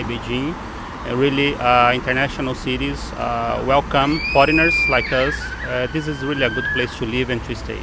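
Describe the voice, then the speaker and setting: A man answering street interview questions in a halting way, with many hesitations. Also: medium pitch. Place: city street outdoors. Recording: street interview with loud traffic.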